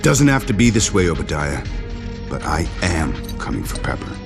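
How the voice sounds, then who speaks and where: An actor playing an intense, headstrong inventor hero in a video game, speaking with confidence and resolve. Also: male voice, sits low, big pitch swings, moderate pace, vocal fry, music in the background, exaggerated voice. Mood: angry, resolute. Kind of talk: dramatic video game acting.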